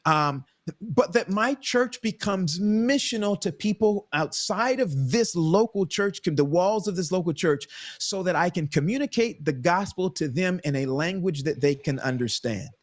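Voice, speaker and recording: Male pastor on a panel, higher-pitched than most men, animated, restarts a lot. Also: moderate pace. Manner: animated, searching for words, frequent restarts. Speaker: pastor and co-host. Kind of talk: casual panel talk.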